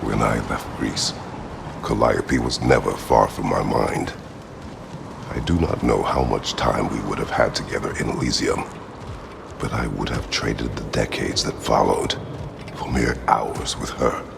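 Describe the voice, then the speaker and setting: A man's voice: a little slow, noisy background, full character voice. An actor playing a grim, battle-weary warrior father haunted by regret in a video game, speaking in a stoic, solemn, terse way with formal wording. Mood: wistful, regretful. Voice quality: very deep gravelly growl. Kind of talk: video game dramatic acting.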